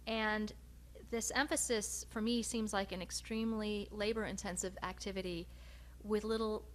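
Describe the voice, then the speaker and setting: A woman researcher giving a research presentation, speaking in a measured, hesitant way with frequent pauses. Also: mid-range voice, steady tone. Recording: noticeable background hum and noise.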